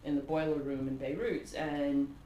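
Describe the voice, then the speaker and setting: A woman in her thirties from Kuwait, a native Arabic speaker, talks as a podcast guest in a lowish voice. She tells stories animatedly, with filler words and frequent laughter. The sound is roomy and echoey, with a faint hiss behind. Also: moderate pace. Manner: animated storyteller, laughs often, many fillers. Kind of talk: casual conversation. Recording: echoey room with faint noise.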